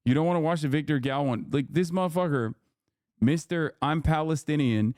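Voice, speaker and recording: A man's voice: on the high side, a little fast, a little mellow, dry recording, clean studio sound. A man streaming live, ranting to his chat in a heated, profane, rambling way.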